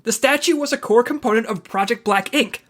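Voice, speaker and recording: An actress playing an earnest, driven agent urging action in an audio drama. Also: female voice, a little high, brisk, close to an everyday voice. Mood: urgent.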